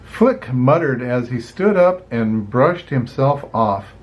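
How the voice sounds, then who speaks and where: An older man reads a picture book aloud like a grandparent, slowly and with plenty of rise and fall in his voice. He slips in chatty asides as he goes. The sound is a bit dull and lo-fi. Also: medium pitch. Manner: expressive, unhurried, chatty asides. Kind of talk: children's storybook reading with asides.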